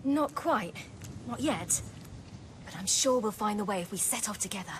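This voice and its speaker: An actress voicing a calm, protective young swordswoman in a fantasy game, gentle but firm. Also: mid-range voice, moderate pace, a little hiss or hum, natural voice. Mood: gentle, probing. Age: young adult.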